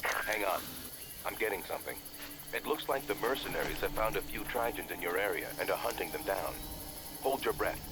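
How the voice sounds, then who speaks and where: Low-voiced, brisk mission handler. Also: male voice, lively intonation, noisy background. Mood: dry, instructive. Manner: businesslike, dry, urgent instructions. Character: brisk, dry male mission handler guiding by radio. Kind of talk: video game mission briefing.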